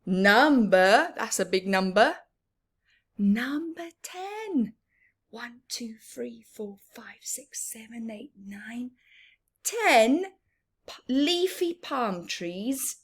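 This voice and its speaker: Female children's story reader. Higher-pitched, highly varied intonation, very unhurried, teacherly and sing-song, engaging small listeners. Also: slightly rough. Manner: sing-song, expressive, prompting listeners with questions. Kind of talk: interactive picture book read-aloud for young children.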